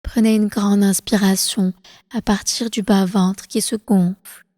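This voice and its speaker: A woman guides a meditation in a soft, mellow voice at a medium pitch. Her phrasing is calm, slow and deliberate, soothing the listener as an instructor would. The voice carries a long reverb tail. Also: studio quality. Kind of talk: guided prenatal meditation, yoga nidra.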